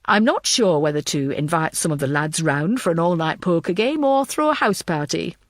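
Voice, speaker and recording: Woman doing an older dad, late sixties, genial, jokey, wry, lively intonation, audiobook, dry sound. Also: lowish voice, moderate pace, dry recording, natural voice. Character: genial, wry sixty-eight-year-old father.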